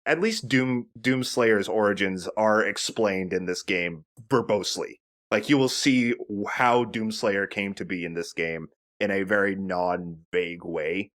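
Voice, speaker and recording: Low-voiced man doing game commentary. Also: unhurried, a bit bright. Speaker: let's play host. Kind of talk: casual video game commentary.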